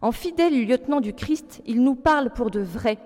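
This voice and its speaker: A woman in her forties speaks earnestly to an audience, moving between prepared reading and spontaneous asides. Her voice is slightly high and notably warm and mellow. A long reverb from the large hall trails her words. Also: expressive, moderate pace. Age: 40s. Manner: earnest, alternating read text with spontaneous asides. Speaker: speaker giving a testimony. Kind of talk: public personal testimony, part read aloud.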